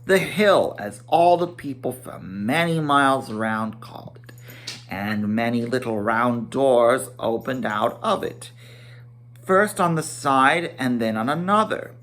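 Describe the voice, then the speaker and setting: Slow, theatrical male storybook reader. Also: mid-range voice, expressive, a bit bright. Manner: theatrical, emphatic, with occasional stumbles. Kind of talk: dramatic read-aloud of a children's fantasy novel.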